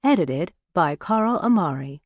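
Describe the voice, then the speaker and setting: Female audiobook announcer: deep for a woman, scripted reading, very dry studio sound. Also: animated, unhurried, dry recording.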